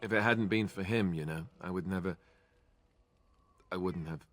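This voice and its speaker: An actor voicing a wry, self-deprecating young warrior in a fantasy video game, loyal, a little awkward and conversational. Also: male voice, low-pitched, normal speed, faint music behind, natural voice. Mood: wistful. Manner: joking, hesitant, conversational.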